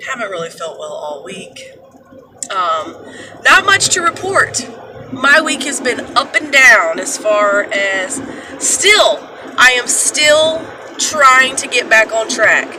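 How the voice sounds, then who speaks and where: A woman chatting casually to her viewers from her car, congested with allergies and sounding deeper than usual, rambling with fillers. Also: takes it slowly. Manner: rambling, loose, with many fillers. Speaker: vlogger. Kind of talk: casual vlog chat. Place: parked or driving car, morning. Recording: steady car noise behind.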